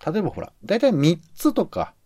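A man's voice: high voice, huge pitch swings, fast, mellow, dry recording, studio quality. A man co-hosting a podcast, talking casually in a musing, explanatory way that often hedges. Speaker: podcast co-host.

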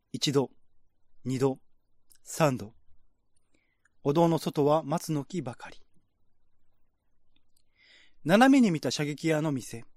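Male narrator, scripted radio-drama reading, higher voice, very expressive pitch, dry close sound. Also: no echo.

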